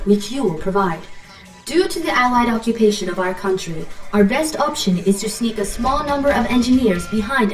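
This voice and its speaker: A woman's voice: on the high side, lightly acted. An actress playing a dutiful female imperial intelligence officer, giving formal, businesslike briefings in a war game. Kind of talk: video game mission briefing and announcements. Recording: roomy distant sound under game music. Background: game music.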